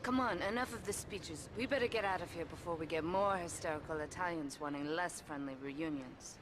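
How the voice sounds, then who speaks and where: A woman's voice: on the low side, fairly quick, noisy background, natural voice. An actress playing a cold, commanding Yakuza woman boss who gives curt, dryly seductive orders in a video game cutscene. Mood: cool command.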